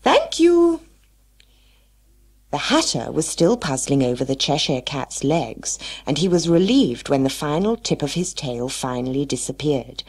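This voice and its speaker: Low, husky woman storyteller. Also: animated, moderate pace, few pauses, a little mellow. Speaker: story narrator. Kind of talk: expressive children's storybook narration.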